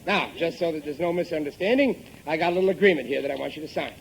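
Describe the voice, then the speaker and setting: A man's voice: on the high side, animated, racing, creaky, slight background noise, poor audio. A man playing a gullible, cocky working-class father in a 1950s radio comedy, exclaiming in ungrammatical everyday speech. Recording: vintage 1950s radio recording.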